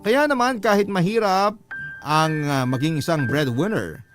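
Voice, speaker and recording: A man with a Filipino accent reading a listener's letter as an earnest, measured radio storyteller. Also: high voice. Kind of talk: dramatic scripted narration. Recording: prominent music bed under the voice. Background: music.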